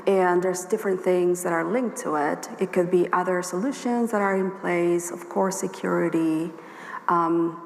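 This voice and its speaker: Hoarse woman presenting in an echoey hall. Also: on the low side, moderate pace. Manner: steady, explanatory, addresses the audience directly. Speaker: workshop presenter. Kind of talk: conference presentation. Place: conference hall. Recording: echoey hall with long reverb, faint ambience.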